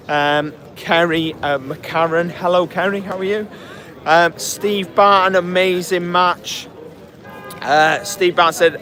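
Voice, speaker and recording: A man hosting a casual post-match podcast, chatting and reading out listener comments. His voice is hoarse and cracking, and he talks in a rambling way, full of fillers and restarts. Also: on the high side, fairly bright tone. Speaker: podcast host.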